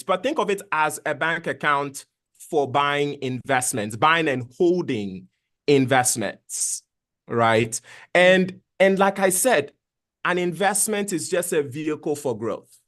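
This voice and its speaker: A man's voice: on the high side, animated, measured pace, fairly bright tone. A middle-aged man from Ghana, a finance guest, patiently explaining investing in plain words over a video call.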